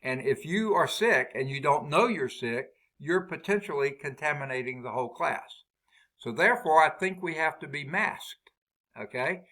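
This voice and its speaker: A man with a loud voice, leading a math department staff meeting over a video call. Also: a little high, lively intonation, moderate pace. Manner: loud voice, talkative and explaining. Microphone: laptop built-in mic on a video call.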